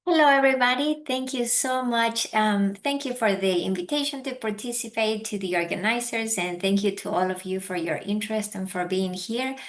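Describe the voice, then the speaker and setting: Woman from Ecuador, 40s, thick Spanish accent, warm audiologist panelist on a video call. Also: mid-range voice, normal speed, few pauses. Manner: warm, self-deprecating, many um fillers.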